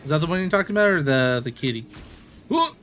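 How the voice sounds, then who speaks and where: A man doing casual live gameplay commentary in a playful, expressive way. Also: on the high side, quick pace, a little hiss or hum. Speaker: game streamer. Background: music.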